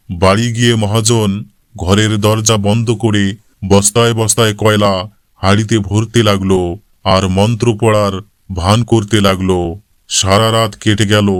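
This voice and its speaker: A man's voice: on the low side, smooth, no echo, studio quality. A man reading scripted narration for a cartoon moral story as the storyteller.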